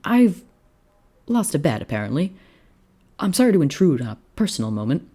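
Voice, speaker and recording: A narrator playing an eager young Roman officer, higher-pitched. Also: very animated, a little fast, warm tone, slightly rough. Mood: apologetic.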